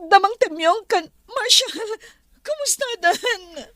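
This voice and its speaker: A woman's voice: very high voice, expressive, slow, pushed and bright, no room sound, clean studio sound, full character voice. An actress playing a distressed, indignant lady in a melodrama, pleading and exclaiming emotionally. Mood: shaken. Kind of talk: melodramatic drama acting.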